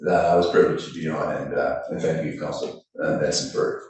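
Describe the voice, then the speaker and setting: A man with a loud, very low voice speaks formally, pausing often on "uh". He sounds distant from the mic, and the room echoes heavily around him. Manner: steady, formal, with many hesitation fillers. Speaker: city councillor. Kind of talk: giving a council report.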